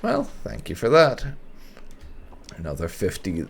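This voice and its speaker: Low, creaky, slow-talking male gamer. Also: wildly swooping pitch. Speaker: gaming channel host. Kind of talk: casual roleplay playthrough commentary. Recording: game ambience faintly behind commentary.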